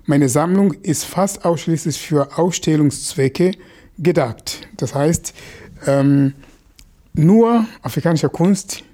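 A man's voice: fairly high pitch, mellow. A man from Mozambique whose first language is a local Mozambican language, speaking German in a casual, hesitant commentary with many fillers and restarts. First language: a language of northern Mozambique.

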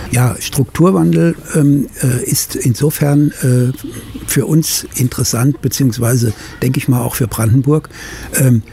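Older Rhineland-accented man, gravelly dark voice, halting interview answers full of fillers, faint ambience outdoors. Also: mid-range voice, slight background noise. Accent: Rhineland German. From Middle Rhine, Germany. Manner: halting, frequent filler sounds. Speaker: winery owner. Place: outdoors at a vineyard.

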